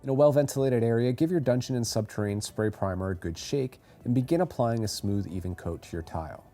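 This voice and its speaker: A man reading a scripted how-to voice-over as a tutorial narrator, giving clear step-by-step instructions. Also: mid-range voice, quick pace, mellow, soft background music.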